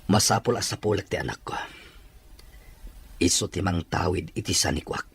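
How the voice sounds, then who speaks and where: A man's voice: low-pitched, moderate pace, a bit bright, slightly rough, exaggerated voice. A man playing an ailing boss who longs for his lost child, in a radio drama. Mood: anguished longing.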